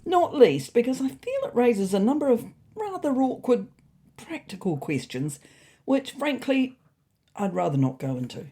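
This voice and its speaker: A woman's voice: fairly high pitch, very animated, moderate pace, warm tone, husky, voice pushed up, full character voice. A woman voicing a fussy, anxious male cat who narrates his comic diary in a prim, wordy, faintly indignant way.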